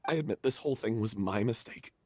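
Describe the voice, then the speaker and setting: A man voicing a guilt-stricken husky young actor. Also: fairly low pitch, fast talker, darkish tone, no room sound, natural voice. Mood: guilty, hesitant. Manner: hesitant confession breaking into anguish. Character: guilt-ridden young male stage actor. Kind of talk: game voice acting.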